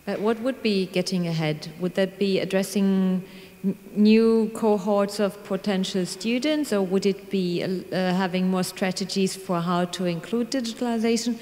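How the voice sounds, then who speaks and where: A woman's voice: medium pitch, moderate pace, a little mellow. A woman in her forties moderating a panel with probing questions, on a handheld microphone. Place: large conference room with audience. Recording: echoey hall with faint room noise.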